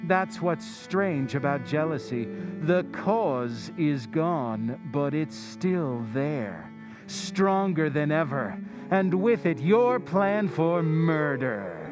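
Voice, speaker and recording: A man's voice: on the high side, very animated, slow pace, over music. An actor playing the Whistler, an all-knowing male narrator who taunts a jealous woman in a radio drama. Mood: taunting. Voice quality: insinuating, taunting.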